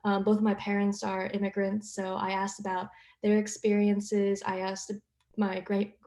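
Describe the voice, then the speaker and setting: A woman's voice: medium pitch, monotone, moderate pace, mellow. A young woman answering a question as a playwright on a panel. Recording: roomy, echoing audio.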